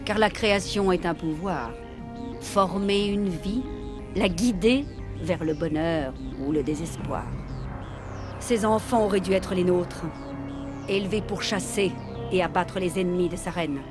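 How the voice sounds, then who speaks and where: A woman's voice: fairly low pitch, measured pace, lightly acted. A woman playing a proud alien matriarch in a video game, solemn and grandiose. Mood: cold, imperious. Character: proud, imperious alien matriarch, cold and fervent. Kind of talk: dubbed video game dialogue. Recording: loud music behind the voice.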